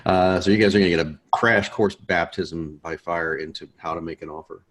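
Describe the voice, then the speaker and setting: A man's voice: low-pitched, level tone, a little fast, a bit bright. A man hosting a course, joking in laid-back casual chat on a video call before the lesson. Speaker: course host.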